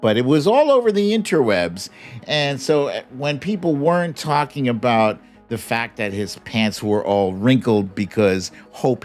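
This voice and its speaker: American man, unhurried delivery, mocking jokey commentary, livestream host, music in the background. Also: mid-range voice. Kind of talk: casual humorous political commentary.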